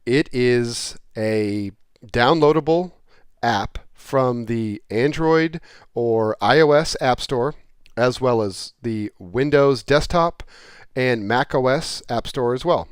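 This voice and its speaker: A man's voice: mid-range voice, takes it slowly, clean tone, no room sound, clean studio sound. A man presenting a product in a casual explainer style, talking plainly and repeating points in everyday terms.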